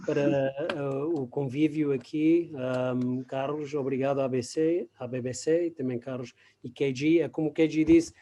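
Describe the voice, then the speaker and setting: Hesitant Portuguese speaker, mellow male voice. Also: mid-range voice, steady tone, highs cut off. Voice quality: non-native Portuguese with English terms mixed in. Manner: halting, searching for words. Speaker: guest expert. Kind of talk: webinar presentation in conversational form.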